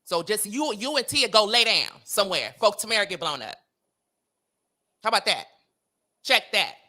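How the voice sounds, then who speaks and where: Brash, bright-voiced woman from Los Angeles. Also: medium pitch, very animated, moderate pace. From Los Angeles, United States. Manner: brash, sassy, emphatic, punctuates with "okay?". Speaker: interview guest, gossip streamer. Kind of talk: gossipy storytelling.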